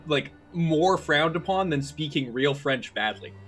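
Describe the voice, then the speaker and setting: A man drops short, blunt remarks in a casual group chat. His voice is fairly high, and faint music plays behind him on an online call. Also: animated, a little slow, fairly bright tone. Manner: blunt and offhand, swears. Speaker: player. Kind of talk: casual chat between game sessions.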